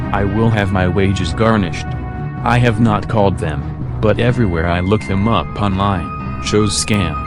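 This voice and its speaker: A man hosting a prank call video, narrating and talking on the phone. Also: lowish voice. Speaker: prank caller and channel host. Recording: loud music over the voice. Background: loud music.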